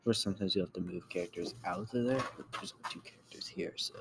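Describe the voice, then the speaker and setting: A man giving a casual screen-recorded software tutorial, halting as he thinks aloud while he works. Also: low-pitched, creaky, noisy background. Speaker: tutorial creator.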